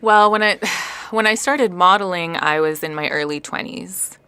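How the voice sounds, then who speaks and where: A woman's voice: on the low side, normal speed, pushed and bright, clean tone. A woman in her thirties, from Canada and Spain, chatting as an interview guest with frequent "um" fillers. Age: 30s. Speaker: guest, model and actor. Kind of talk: casual interview chat.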